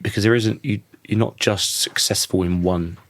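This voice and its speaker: Casting: croaky man in his thirties, low voice, thoughtful and halting, dry close-miked sound. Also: steady tone, dry recording. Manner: thoughtful, restarts sentences often. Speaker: podcast guest. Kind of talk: reflective conversational interview.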